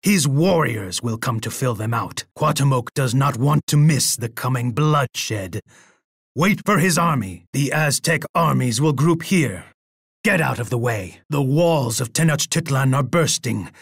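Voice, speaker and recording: A proud Aztec warlord for a strategy video game. His voice is husky and dry, and he delivers his lines slowly. He is imperious and boastful, with a bloodthirsty edge. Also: on the high side, no echo, exaggerated voice. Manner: imperious, boastful, speaking of himself in third person.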